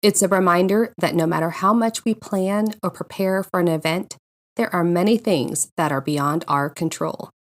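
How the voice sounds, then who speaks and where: A woman hosting a podcast, telling personal stories from a script in an expressive, conversational way. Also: lowish voice, moderate pace. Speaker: podcast host.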